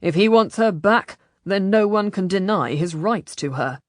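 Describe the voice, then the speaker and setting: A woman voicing a stern, businesslike male galley commander in an audiobook, flat, curt and commanding. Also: medium pitch, unhurried, no room sound, clean studio sound, natural voice.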